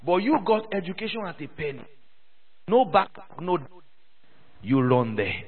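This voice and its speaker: A man's voice: fairly high pitch, big pitch swings, unhurried, breathy edge. A man preaching a sermon to a church, repeating phrases and calling for responses from the congregation. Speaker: pastor. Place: church hall. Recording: thin phone-like sound with long hall reverb.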